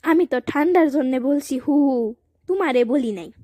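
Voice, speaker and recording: A woman's voice: high voice, expressive, moderate pace, warm tone, no room sound, clean studio sound. A woman voicing a stubborn, playful young girl in a comic cartoon, whiny and argumentative, with a Bangladeshi accent.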